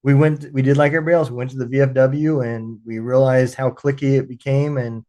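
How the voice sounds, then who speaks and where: A man's voice: mid-range voice, level tone, mellow. Brief: male podcast host, veteran, talks in stop-start bursts, ordinary mid voice. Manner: halting, frequent restarts and fillers. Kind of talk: casual interview chat.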